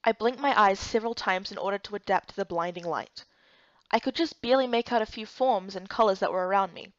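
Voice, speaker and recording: A woman's voice: mid-range voice, a little fast, no room sound, muffled recording. A woman reading scripted first-person story narration for a game playthrough.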